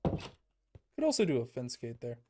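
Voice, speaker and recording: A young man streaming a building game, talking calmly and evenly in a soft, soothing voice he calls monotone. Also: medium pitch, unhurried. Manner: calm, soft-spoken, self-described monotone. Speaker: Minecraft streamer. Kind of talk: casual livestream chat while building in a game.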